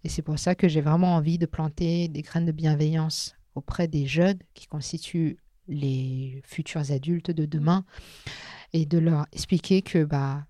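A woman's voice: low-pitched, steady tone, unhurried, dark tone, dry recording, clean studio sound. A French woman speaking as a podcast guest, talking casually with frequent hesitations and filler sounds. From France. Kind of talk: casual interview conversation.

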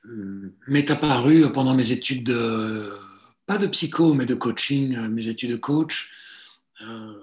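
Forties male therapist, halting and hesitant, restarts often, mid-range voice. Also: medium pitch. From Italy. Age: 40s. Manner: hesitant, many fillers and restarts. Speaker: guest, psychotherapist and coach. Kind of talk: relaxed conversational interview.

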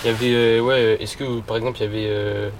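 Blunt young man over clear background noise. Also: fairly low pitch, flat delivery, quick pace. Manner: blunt, repetitive, insistent. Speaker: passer-by guest. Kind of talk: casual opinion chat, slangy. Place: live street show in a van. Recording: noisy street background.

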